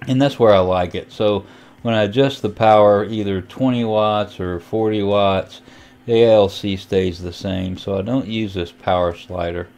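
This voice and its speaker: A man giving an informal, step-by-step hobbyist walkthrough of software and equipment. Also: low voice. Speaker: amateur radio hobbyist giving a tutorial. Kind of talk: casual software and radio walkthrough.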